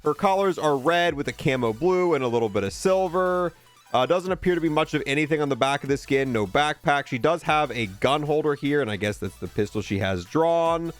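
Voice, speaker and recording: A man's voice: on the high side, animated, a little fast, faint music behind. A man hosting a gaming video, giving casual showcase commentary on a video game.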